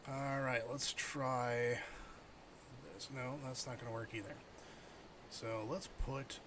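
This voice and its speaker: Casual male game streamer over background noise. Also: medium pitch. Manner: thinks aloud, muttering while playing and chatting with viewers. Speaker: livestreamer.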